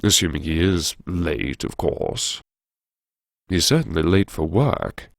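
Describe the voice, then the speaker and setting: A man playing a deep, droll, erudite academic. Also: expressive, takes it slowly, gravelly, dry recording, studio quality, exaggerated voice. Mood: wry musing. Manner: droll, plummy old-fashioned phrasing, musing. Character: wry, erudite, self-mocking new university head talking to himself. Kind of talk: audiobook narration as inner monologue.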